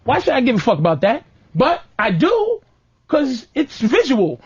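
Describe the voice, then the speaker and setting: Brash profane radio host, high lively voice, dry dull recording. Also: male voice, moderate pace, breathy edge, dry recording. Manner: brash, profane, mimics his girlfriend in whiny voices. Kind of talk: comedic relationship rant.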